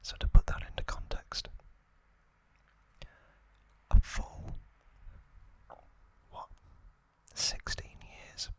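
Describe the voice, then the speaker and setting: A young man whispers very slowly for ASMR, right up at the microphone. His hushed voice is crisp and bright, with each short phrase set carefully apart. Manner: hushed, slow, deliberate delivery in short separated phrases. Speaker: host of an ASMR review series. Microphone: close ASMR microphone.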